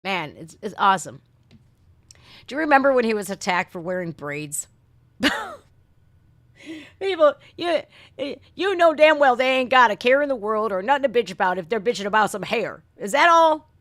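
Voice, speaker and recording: A woman's voice: on the high side, animated, normal speed, long pauses, fairly bright tone, no room sound. An American woman hosting a livestream reaction, chatting and ranting casually in blunt, sweary, folksy slang. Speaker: reaction video host. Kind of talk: casual livestream rant and chat.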